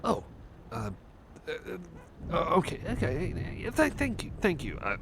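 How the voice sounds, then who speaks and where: A man's voice: a little high, huge pitch swings, unhurried, noisy background, exaggerated voice. A man playing a shy, anxious, apologetic cyborg friend in an ASMR roleplay, speaking in a hesitant, nervous stammer. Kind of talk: ASMR roleplay, personal attention.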